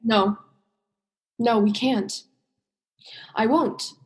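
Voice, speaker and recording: Casting: actress for young female diver, higher register, earnest, principled, emphatic, radio drama. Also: very slow. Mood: firm, resolute. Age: young adult. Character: earnest, principled woman diver standing up for herself. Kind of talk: student radio play acting.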